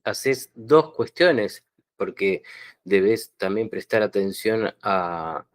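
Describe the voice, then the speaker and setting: A man with an Argentine accent hosting an interview, asking unhurried, probing questions. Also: lowish voice, expressive. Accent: Argentine Spanish. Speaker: interviewer host.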